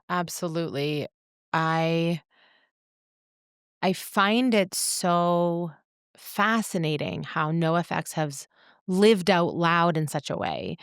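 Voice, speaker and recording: A woman co-hosting a podcast, telling stories in a casual, reflective way with thoughtful, measured phrasing. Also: fairly low pitch, smooth, dry recording, studio quality. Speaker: podcast co-host. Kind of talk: casual reflective storytelling in conversation.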